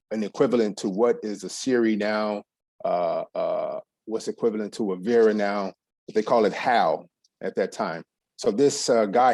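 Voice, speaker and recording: A man's voice: lowish voice, vocal fry, darkish tone, no echo. A middle-aged man from Texas, giving a loose, rambling presentation to an audience with plenty of ums and restarts. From USA, San Antonio, Texas.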